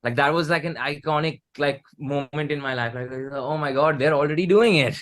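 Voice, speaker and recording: An Indian man in his early thirties talks casually in an interview. His voice sits on the high side, and he circles through his thoughts with repeated words and frequent filler "like"s. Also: fairly bright tone. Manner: halting, repeats words, says "like" a lot. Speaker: musician, band member being interviewed. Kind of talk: casual chat.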